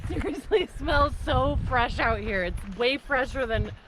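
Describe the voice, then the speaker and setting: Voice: high voice, lively intonation, a little slow, noisy background. Joking hiking companion outdoors. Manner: laughs often, joking and swearing. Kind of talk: casual banter. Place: outdoors on a forest trail. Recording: outdoor field sound with a stream.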